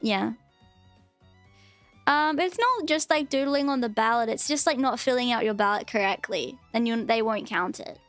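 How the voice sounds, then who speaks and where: High-voiced woman rambling on stream. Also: moderate pace, a bit bright, soft background music. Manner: rambling, filler-heavy, chatty. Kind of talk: casual live-stream chat.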